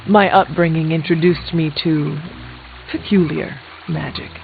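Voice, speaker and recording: A woman voicing a stern but curious resurrected warrior in a video game, speaking in a formal, measured, dry way. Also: low-pitched, fairly even intonation, gravelly. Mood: curious, amused. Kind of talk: scripted video game dialogue. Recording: thin phone-like band, music behind.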